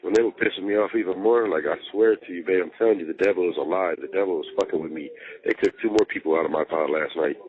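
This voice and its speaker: Low-voiced man swearing on a muffled phone line. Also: fast talker. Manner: heavy swearing, slangy, emphatic repetition. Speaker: jail inmate on a phone call to partner. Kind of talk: casual venting phone chat. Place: jail pod phone. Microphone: telephone handset. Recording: muffled, band-limited recorded jail phone call.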